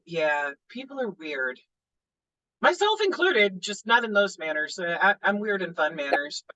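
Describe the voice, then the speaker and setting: A lively book club member in casual discussion, laughing often and swearing between crude jokes. The voice is bright and sharp-edged at an average pitch. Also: measured pace, poor audio.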